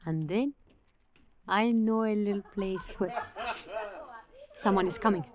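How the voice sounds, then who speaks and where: A woman's voice: mid-range voice, a little slow, darkish tone, a little hiss or hum, natural voice. An actress playing a passionate, fearless fugitive woman in a 1940s radio drama, speaking in an imperious, ornate way. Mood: hushed, guiding. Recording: dull vintage radio recording with organ music.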